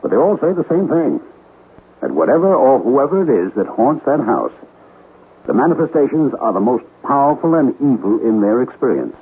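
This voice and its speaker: An actor playing an excitable, emphatic businessman client in a radio drama, agitated about a haunted house. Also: mid-range voice, moderate pace, close to an everyday voice. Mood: alarmed. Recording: dull, noisy old radio broadcast.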